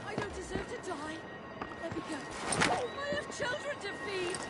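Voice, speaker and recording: Shrieking-high, terrified British thug. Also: male voice, expressive, moderate pace. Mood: terrified, pleading. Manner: whimpering, stammering. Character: terrified male street thug begging for his life. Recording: loud noisy game ambience.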